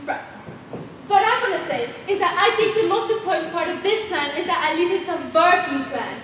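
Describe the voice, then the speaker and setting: A woman's voice: extremely high pitch, lively intonation, fairly quick, a bit bright. A woman playing an earnest, muddled meeting member in a stage play, rambling as she speaks. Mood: earnest, puzzled. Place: theater stage. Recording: distant echoey hall, faint noise.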